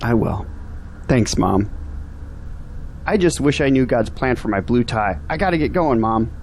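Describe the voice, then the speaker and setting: An actor playing a hesitant, humble young son in an audio drama. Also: male voice, medium pitch, lively intonation, a little slow, a little hiss or hum. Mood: grateful. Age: young adult. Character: young, unsure, dutiful son seeking guidance.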